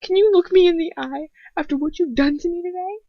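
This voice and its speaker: A woman acts out a young captive girl from a storybook, desperate yet defiant. Her pleading is tremulous and raised in pitch, on a dull lo-fi recording. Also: a little fast, dry recording. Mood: desperate appeal.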